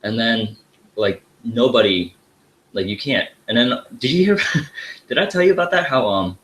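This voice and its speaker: A man's voice: mid-range voice, lively intonation, moderate pace, a bit bright, slight background noise, rough recording. A young man talking as a podcast guest in a casual, laid-back way, saying "like" a lot. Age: young adult. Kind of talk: casual chat.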